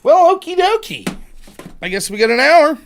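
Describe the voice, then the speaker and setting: Lively, grumbling livestreamer man. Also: very high-pitched, normal speed, gravelly. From Pennsylvania. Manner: grumbling to himself, mild cursing, then opinionated. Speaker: political livestreamer. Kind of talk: casual livestream monologue. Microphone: headset.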